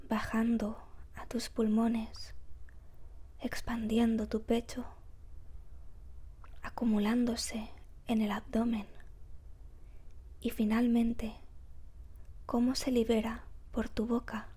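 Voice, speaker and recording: A woman guiding a meditation in a soft, breathy, whispery voice, calm and gentle, with measured phrases and long pauses. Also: fairly high pitch. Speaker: meditation guide. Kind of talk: slow guided meditation narration.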